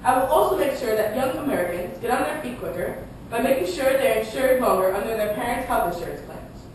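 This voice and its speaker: A woman's voice: lively intonation, fast, a little mellow, lightly acted. A woman playing a confident male president giving scripted campaign statements in a puppet show debate. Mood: earnest. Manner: declarative campaign speechmaking. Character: male US president, confident candidate defending his record. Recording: echoey room, distant mic, rumbling noise.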